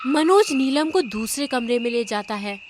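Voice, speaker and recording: A woman's voice: on the high side, expressive, moderate pace, very noisy. A woman narrating a scripted horror cartoon story.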